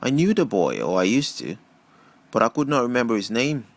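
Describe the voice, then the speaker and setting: A man's voice: mid-range voice, huge pitch swings, a little fast, creaky, no room sound. A man reading a first-person novel aloud as scripted audiobook narration. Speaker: audiobook reader.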